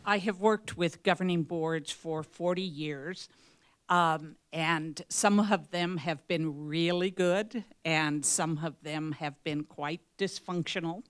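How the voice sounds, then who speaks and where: Slow, deep-voiced woman, deliberate. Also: clear, resonant tone, no echo. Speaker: board candidate. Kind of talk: candidate forum answers.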